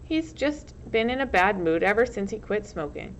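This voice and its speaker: A woman's voice: medium pitch, expressive, moderate pace, lightly acted. Woman reading as an earnest male camp counselor, muffled noisy recording. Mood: reassuring. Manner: earnest, patronizing. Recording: muffled lo-fi recording, loud background noise.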